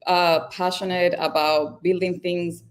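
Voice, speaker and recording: A woman speaks in a lowish voice as a guest on a video call. She talks in a halting way, full of fillers, restarts and easy laughs. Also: flat delivery. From Venezuela. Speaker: guest engineer. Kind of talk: casual interview chat.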